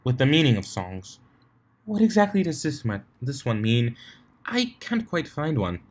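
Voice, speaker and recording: A man's voice: medium pitch, big pitch swings, measured pace, pitched above the performer's own voice. A man voicing a refined, fussy female unicorn fashionista with a theatrical, ladylike manner in a storybook reading. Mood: probing.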